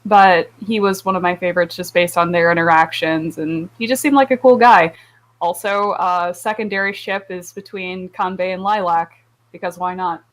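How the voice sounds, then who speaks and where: A woman's voice: lowish voice, fairly even intonation, moderate pace. A woman giving her opinions in a casual club discussion, in a wry, hesitant manner. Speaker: anime club podcast panelist.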